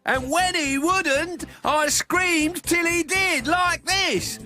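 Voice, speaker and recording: A man voicing a loud, bragging schoolgirl who shouts everything. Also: extremely high pitch, slow, soft background music, pitched above the performer's own voice, full character voice. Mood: boastful shouting. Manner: loud, shouting, breathless boasting.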